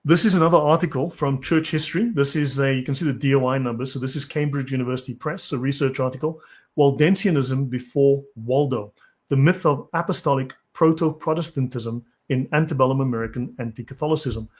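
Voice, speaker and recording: A man's voice: medium pitch, fairly quick. Blunt South African man lecturing. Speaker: channel host. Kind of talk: live-stream commentary answering chat.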